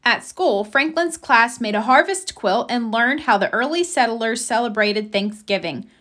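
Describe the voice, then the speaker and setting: Female storybook reader: highish, animated, careful and expressive narration for young children. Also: a little slow. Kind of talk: children's read-aloud narration.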